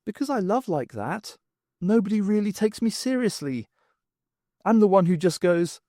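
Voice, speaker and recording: A man's voice: sits high, big pitch swings, moderate pace, warm tone, no echo, studio quality, pitched above the performer's own voice. A man voicing an adorable, lovestruck little dwarf in an audiobook, earnest and gushing. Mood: lovestruck, bashful.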